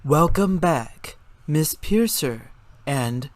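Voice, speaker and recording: Man doing a slow, formal robotic greeter. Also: on the high side, animated, dry recording. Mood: formal, flat. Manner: formal, drawn-out.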